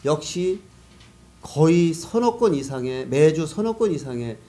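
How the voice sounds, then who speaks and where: A man's voice: a little high, lively intonation, unhurried, mellow. A man giving explanatory, conversational answers as a guest in a business interview. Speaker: guest, business network organizer.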